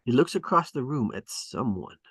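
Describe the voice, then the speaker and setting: A man's voice: medium pitch, lively intonation, moderate pace, fairly bright tone, slightly rough, no echo. A man reading stage directions at a drama table read, with emphatic, clipped and dramatic phrasing.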